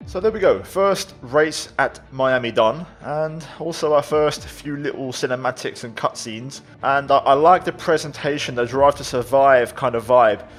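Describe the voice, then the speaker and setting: A man's voice: mid-range voice, moderate pace, hardly any pauses. A man giving casual gameplay commentary as the host of a gaming video. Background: faint game music.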